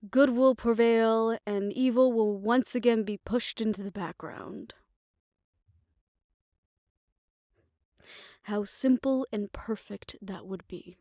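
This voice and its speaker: A woman playing a tender, world-weary lover in a fantasy audio roleplay, speaking in a measured, reflective and intimate way. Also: mid-range voice, no echo, studio quality, natural voice. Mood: grave, reflective. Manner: measured, reflective, formal phrasing, soft hushed moments. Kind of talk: romantic audio roleplay, intimate scripted monologue.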